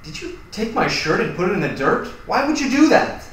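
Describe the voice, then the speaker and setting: A man plays a short-tempered, accusing male roommate. His fairly high voice swings widely as he speaks bluntly in a naturalistic drama, distant in a very echoey room. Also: animated, a little fast, bright, forceful tone, a little hiss or hum. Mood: exasperated. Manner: blunt, confrontational. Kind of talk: naturalistic acted scene.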